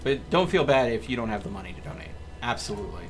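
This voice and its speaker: A man's voice: mid-range voice, very animated, fast talker, soft background music. A man doing live gameplay commentary as a streamer, chatting to his viewers and muttering to himself as he plays. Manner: talks to chat and mutters to himself. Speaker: game streamer.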